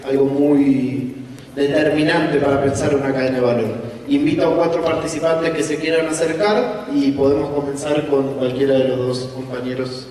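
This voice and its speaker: A man moderating a conference panel in a relaxed, playful way. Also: mid-range voice, moderate pace, distant mic. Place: large conference hall. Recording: echoey hall, voice from afar.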